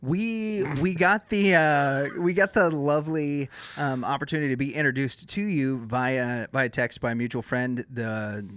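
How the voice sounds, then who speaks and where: A man hosting a casual interview podcast, effusive when introducing, with a halting way of talking. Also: mid-range voice, steady tone, fairly bright tone, smooth, no echo. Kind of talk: casual chat.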